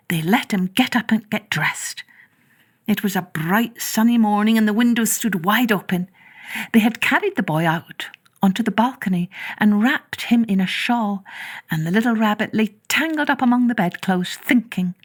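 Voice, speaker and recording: A woman narrating a children's story in a measured, expressive way, drawing out some words. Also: on the high side. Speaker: storyteller, podcast host.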